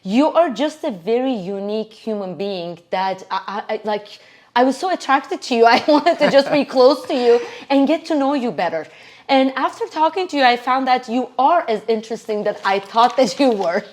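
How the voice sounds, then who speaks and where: A woman's voice: fairly high pitch, expressive, moderate pace. Effusive woman hosting an interview. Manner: effusive, earnest, admiring. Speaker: interview host.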